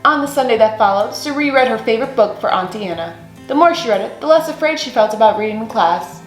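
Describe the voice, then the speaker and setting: A young woman reading a children's picture book aloud as a storyteller, with deliberate, expressive phrasing in a small room. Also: on the high side. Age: young adult. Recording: roomy echo, faint music underneath.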